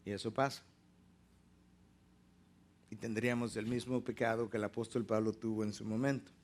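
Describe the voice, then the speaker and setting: A man preaching a sermon, teaching emphatically and slowing down to stress key words. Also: fairly low pitch, level tone.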